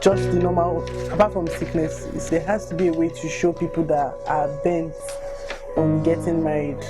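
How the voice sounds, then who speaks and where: Low-voiced Nigerian woman over music. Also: flat delivery, normal speed. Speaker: volunteer reader reacting to written accounts. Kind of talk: reading aloud and candid spoken reaction. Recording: music bed under the voice.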